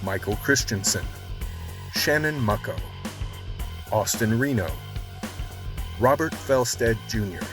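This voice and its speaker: A man's voice: medium pitch, lively intonation, slow, long pauses, over music. A man reading scripted closing credits as an announcer.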